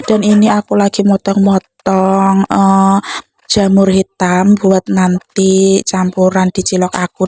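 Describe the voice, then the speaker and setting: A woman's voice: mid-range voice, dark tone, breathy edge, dry recording, clean studio sound. A young woman narrates a home cooking vlog in a casual, chatty way, addressing viewers like friends.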